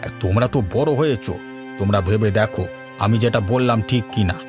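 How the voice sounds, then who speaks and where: A man playing a scheming middle-aged village landlord in a cartoon, talking in a measured, patronising way with veiled threat. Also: fairly low pitch, natural voice. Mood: coaxing. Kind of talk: Bengali cartoon story voice acting. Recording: music behind the voice.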